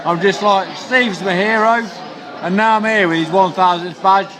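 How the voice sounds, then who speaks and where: A man's voice: sits high, moderate pace. A man chatting casually in a bar, gushing and repeating himself. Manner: gushing, repetitive. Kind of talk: casual, effusive chat. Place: busy bar. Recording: loud bar crowd around the voice.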